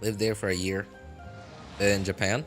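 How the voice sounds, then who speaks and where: A man talking casually on a live game stream in a rambling, halting way, often correcting himself. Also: lowish voice, little pitch movement, a bit bright, soft background music.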